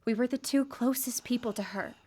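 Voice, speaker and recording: A woman's voice: a little high, fairly quick, darkish tone, close to an everyday voice. A woman playing a weary, grieving, defensive widow in a radio drama. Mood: exasperated.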